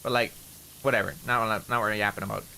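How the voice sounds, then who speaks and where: Nasal young Canadian man, bright voice. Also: fairly low pitch, flat delivery, very fast, creaky, a little hiss or hum. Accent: slight rural Canadian. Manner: rambling, swears a lot, self-mocking. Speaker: streamer. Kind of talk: casual gaming stream chat.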